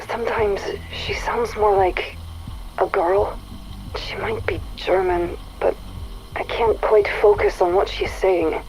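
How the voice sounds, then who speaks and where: A woman playing a curious, skeptical and quietly troubled figure in a game audio log, reflective, with hesitant pauses. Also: lowish voice, low-quality recording. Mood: uneasy. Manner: hesitant, reflective, pausing mid-sentence. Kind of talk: scripted audio log monologue. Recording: faint noise, recorded audio log.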